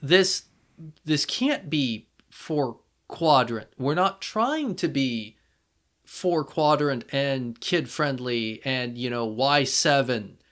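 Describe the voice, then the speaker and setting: A man hosting a podcast, talking casually and emphatically, with halting restarts as he makes his points. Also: mid-range voice, lively intonation, a bit bright. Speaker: podcast host.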